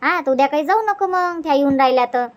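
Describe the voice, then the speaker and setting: High-voiced chatty village mother played by a woman. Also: expressive, quick pace. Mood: explaining. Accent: Varhadi Marathi (Vidarbha). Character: chatty village mother, bossy yet easygoing organiser. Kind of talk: homemade cartoon comedy dub.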